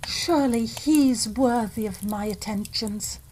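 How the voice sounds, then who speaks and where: A woman's voice: a little high, unhurried, warm tone, slightly rough, low-quality recording. An actress playing an earnest, heartsick young woman in love in an old-style radio play. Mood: pleading. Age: young adult. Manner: earnest, emotional pleading. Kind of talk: 1940s style radio acting.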